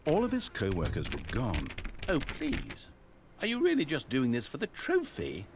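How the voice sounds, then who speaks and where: An actor playing a wry, theatrical storybook narrator in a video game. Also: male voice, medium pitch, huge pitch swings, moderate pace, noisy background. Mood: exasperated. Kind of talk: scripted narration.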